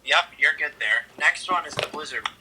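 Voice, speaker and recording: A man's voice: fairly high pitch, brisk, crowd noise, poor audio. A man on a video call casually guiding someone through a setup, explaining options as he goes. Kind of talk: casual instructional chat. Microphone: video call heard through a device speaker.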